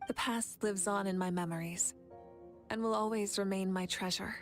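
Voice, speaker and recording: A woman playing a composed, resolute warrior leader in a video game, speaking with calm assurance. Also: mid-range voice, normal speed, lightly acted. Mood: calm, reflective. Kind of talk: anime video game voice acting. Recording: faint music behind.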